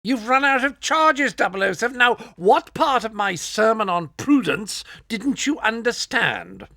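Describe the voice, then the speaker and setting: An actor playing a fussy gadget master in a video game, prim, nagging and dryly sarcastic. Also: male voice, extremely high pitch, lively intonation, measured pace, sharp tone, no echo, studio quality, full character voice. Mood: dry reproach. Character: fussy, exasperated male gadget master advising a spy. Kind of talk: scripted video game voice acting.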